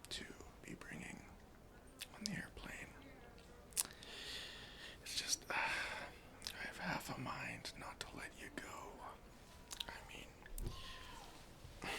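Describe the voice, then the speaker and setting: A man whispering an ASMR roleplay as a deadpan airport security officer, breathy and deliberate, with pauses. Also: medium pitch. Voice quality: breathy whisper with some fry. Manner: slow, hushed, deliberate, with pauses.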